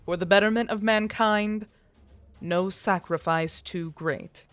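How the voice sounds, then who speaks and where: A woman's voice: medium pitch, steady tone, slow, dry recording, faint music behind, close to an everyday voice. A woman playing a stern, self-righteous military commander, making a formal, pompous public proclamation in an audio drama. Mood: resolute, threatening.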